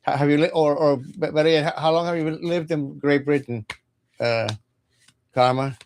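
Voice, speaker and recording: Halting man. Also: a little high, steady tone, a little mellow, a little hiss or hum. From Cuba. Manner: halting, repeats words often. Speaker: tarot reader. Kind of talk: casual livestream chat.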